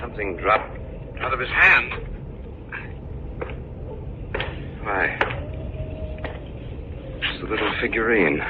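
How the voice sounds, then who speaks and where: An actor playing a sober, uneasy heir who tells his story in a measured, grave manner in 1940s radio drama. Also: fairly low pitch, lively intonation, very noisy. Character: sober, uneasy male heir narrating a mystery. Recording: muffled old radio recording, music behind.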